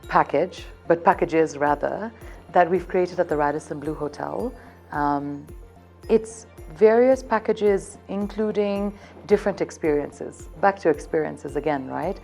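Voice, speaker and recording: A woman, talking warmly about her hotel's offerings in an interview. Her voice sits a little low and mellow, and she stays upbeat as she returns again and again to her favourite theme. Soft music plays faintly behind her. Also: lively intonation, a little slow, creaky. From Zambia. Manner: upbeat, selling, keeps circling back to one theme. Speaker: hotel events representative. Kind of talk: promotional interview answers.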